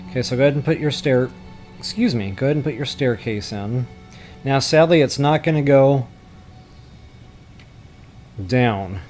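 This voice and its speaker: A man's voice: medium pitch, darkish tone. Male tutorial host, mid-range voice, casual and unscripted, mildly self-deprecating, thinks aloud while gaming, music behind. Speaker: video game tutorial host. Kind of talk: casual screen-recorded game building tutorial.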